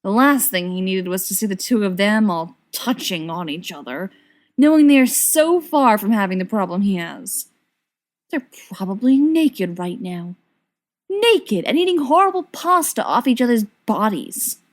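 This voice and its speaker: A woman reading scripted fiction aloud as an expressive narrator. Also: mid-range voice, moderate pace. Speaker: podfic reader.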